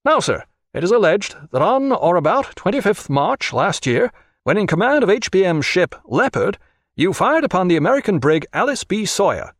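A man voicing an officious, excitable interrogator with a pompous, fussy, formal manner in an audiobook. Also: high-pitched, moderate pace, no echo, clean studio sound, voice pushed up, exaggerated voice. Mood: accusing.

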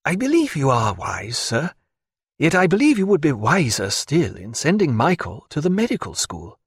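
A man voicing a guarded, formal physician who speaks in a measured, dry, precise way. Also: on the high side, huge pitch swings, husky, no room sound, voice pushed up, exaggerated voice. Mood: calm. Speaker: doctor.

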